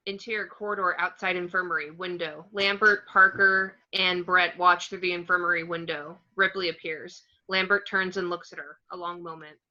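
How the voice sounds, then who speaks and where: A woman's voice: on the low side, fairly even intonation, normal speed, few pauses, sharp tone, lo-fi sound. A woman reading screenplay stage directions aloud as scripted narration.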